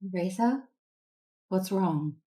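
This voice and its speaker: A woman playing a gentle, sorrowful Jedi consoling her family in a tender, measured way, in a sci-fi audio drama. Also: fairly low pitch, animated. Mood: relieved concern.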